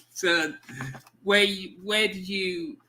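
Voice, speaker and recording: A man with a high, very bright voice hosts a relaxed podcast chat. He talks loosely and casually, stopping and restarting now and then as he sets things up. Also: extremely slow. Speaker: podcast host.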